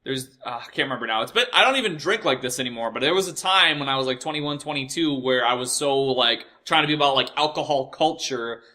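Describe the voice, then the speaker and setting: A young American man with a bright, forward voice at an average pitch. He tells personal stories loosely and casually, full of swearing, repeats and self-corrections. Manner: profane, repetitive, halting and self-correcting. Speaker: host of a personal storytelling show. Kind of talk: casual rambling personal storytelling.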